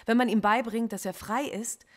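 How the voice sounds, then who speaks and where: A woman's voice: a little high, steady tone, moderate pace, natural voice. An actress playing a defiant female philosophy professor in a radio play, articulate and lecturing. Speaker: philosophy professor under interrogation.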